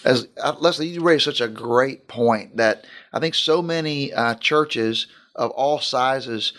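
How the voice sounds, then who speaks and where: A man who is a pastor, speaking in a relaxed discussion. He talks earnestly, piling up long lists, at a middle pitch. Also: slow pace. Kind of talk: casual podcast discussion.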